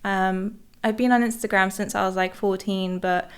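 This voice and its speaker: A woman, a podcast guest chatting casually in a relaxed, soft-spoken way. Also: mid-range voice, moderate pace, clean tone. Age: 20s. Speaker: podcast guest, content creator. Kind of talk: casual chat.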